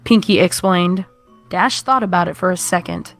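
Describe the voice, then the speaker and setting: Low-voiced woman narrating a horror story. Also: moderate pace, faint music behind.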